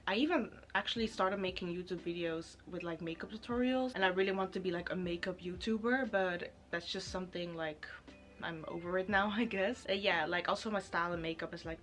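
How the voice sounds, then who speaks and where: Dutch woman, twenties, mid pitch, easy rambling chat to camera, full of fillers. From Netherlands. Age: 20s. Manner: chatty, rambling, lots of "like" fillers. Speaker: YouTuber.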